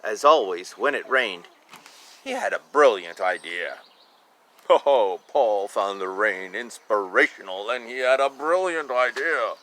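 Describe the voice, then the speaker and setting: A man's voice: lowish voice, lively intonation, unhurried, a bit bright, slight background noise. A man reading a children's picture book aloud expressively and informally, drawing out words and adding casual asides about the pictures. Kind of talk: animated storybook read-aloud with casual asides.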